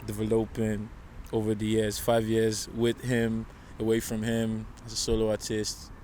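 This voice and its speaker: Even-toned man, low-key interview guest. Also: measured pace. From Nigeria. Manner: speaks in short fragments. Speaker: music artist being interviewed. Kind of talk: casual chat. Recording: outdoor traffic noise.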